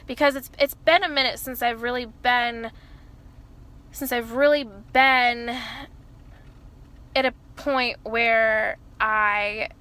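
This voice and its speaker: A woman in her early twenties with a loud voice, talking casually to camera from inside her car and rambling with lots of fillers and restarts. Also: fairly high pitch, fairly even intonation, takes it slowly, pauses often. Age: early 20s. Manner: rambling, self-interrupting, many fillers like "like" and "you know". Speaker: vlogger. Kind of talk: casual confessional chat to camera.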